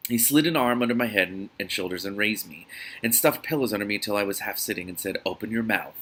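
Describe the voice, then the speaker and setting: A man reads fiction aloud in a dramatic, expressive way, breaking off for his own asides. His voice sits fairly low and has a husky grain, with a bright, forceful edge. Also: fast talker, rough recording. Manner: dramatic, expressive reading with exclaimed interjections. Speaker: reader and host of a read-aloud reaction series. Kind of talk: reading a novel aloud with reaction asides.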